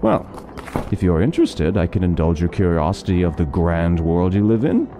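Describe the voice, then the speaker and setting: An actor playing a learned doctor who eagerly lectures on lore in an interactive fantasy story. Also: male voice, deep voice, big pitch swings, moderate pace, music in the background. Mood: explaining. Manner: talkative, lecturing, fond of lore. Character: scholarly male doctor, middle-aged, chatty lore lover.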